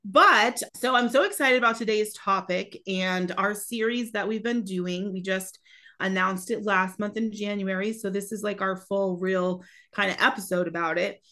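Chatty woman with a cold-roughened voice. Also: medium pitch, fairly even intonation, moderate pace, bright, forceful tone. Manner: chatty, loose, with many restarts. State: slightly raspy from a cold. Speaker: podcast co-host. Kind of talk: casual chat.

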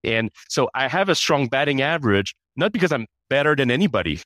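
A man talks as a guest in a business interview. He is earnest and often repeats words as he restarts a thought. His voice sits at a middle pitch, and the room sound is dry and close. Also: very animated, brisk, fairly bright tone, no echo. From Canada. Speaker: guest, chief people officer.

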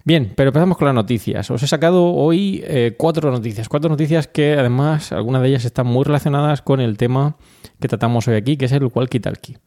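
A man with a soft, dark-toned voice and a Castilian accent from Spain. His pitch sits in the middle of the male range. He hosts a podcast alone, chatting informally and explaining as he addresses the listeners directly. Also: brisk. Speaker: podcast host. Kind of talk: informal solo podcast talk.

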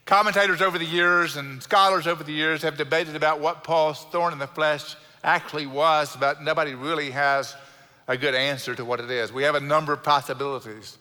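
A middle-aged man with a South Houston Texan accent, preaching a sermon in an earnest way, slowing down to stress key words and adding light self-deprecating humor. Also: a little high, fairly even intonation, pushed and bright. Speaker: pastor. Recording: long hall reverb from a church sanctuary.

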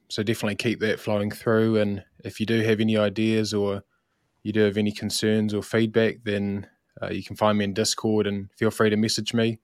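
Kiwi guy, lowish voice, super dry sound, chill and full of ums. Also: no echo. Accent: New Zealand. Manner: relaxed, unhurried, many filler ums. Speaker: marketing and growth lead. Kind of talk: casual self-introduction on a livestream.

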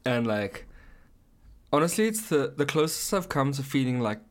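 A man co-hosting a podcast, chatting casually with swearing and telling anecdotes in an animated way, acting out quotes. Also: mid-range voice, normal speed. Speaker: podcast co-host.

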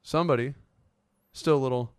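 A man in his twenties hosts a casual talk show with a smooth, clear voice. He tells stories in an animated, jokey way, and the sound is close and dry. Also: medium pitch, fairly quick, dry recording. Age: 20s. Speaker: host of a fan sports show. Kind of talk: casual chat.

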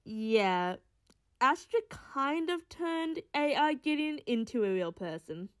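Girl playing a chatty matter-of-fact teammate in an audio drama, high voice, dry close mic. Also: lively intonation, takes it slowly, clear, resonant tone, no echo. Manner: casual, explanatory.

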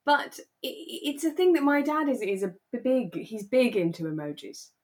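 A woman co-hosting a podcast in a relaxed, casual conversational style. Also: a little high, normal speed. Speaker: podcast host; actor. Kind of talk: relaxed casual chat about a radio drama.

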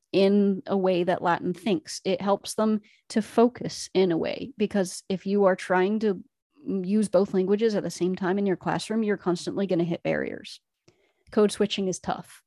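A woman's voice: mid-range voice, fairly even intonation, normal speed, darkish tone, dry recording, clean studio sound. A woman co-hosting an educational podcast, earnestly laying out her case point by point. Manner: earnest, explanatory, building arguments point by point. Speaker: podcast co-host, Latin teacher. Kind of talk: enthusiastic educational podcast discussion.

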